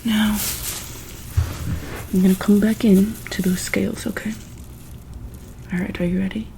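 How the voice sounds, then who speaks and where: A woman doing a soft-spoken, often whispered ASMR roleplay as a calm, caring medic, with a gentle, reassuring bedside manner. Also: mid-range voice, a little slow, creaky, slight background noise, rough recording. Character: calm, caring female medic at a monster hospital. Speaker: ASMR roleplayer playing a monster hospital medic.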